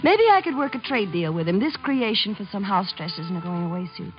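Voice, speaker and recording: A woman's voice: mid-range voice, animated, a little fast, close to an everyday voice. An actress playing a bold, lovestruck female reporter, teasing and ardent, in a 1950s radio drama. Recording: muffled 1950s radio broadcast, music behind.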